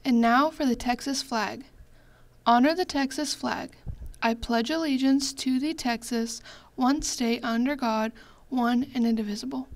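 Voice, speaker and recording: High-voiced woman leading school pledges. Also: steady tone, unhurried.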